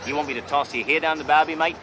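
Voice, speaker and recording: A man's voice: fairly high pitch, steady tone, very fast, noisy background, pitched above the performer's own voice, natural voice. An actor playing a brash, boastful rich male outback car dealer with a put-on Australian accent and a swaggering, folksy way of bragging. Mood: blustering.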